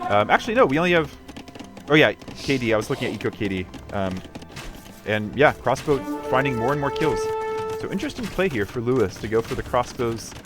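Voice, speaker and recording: Guy doing chill live esports game commentary, thinking out loud, kinda analytical, faint music behind. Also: lowish voice.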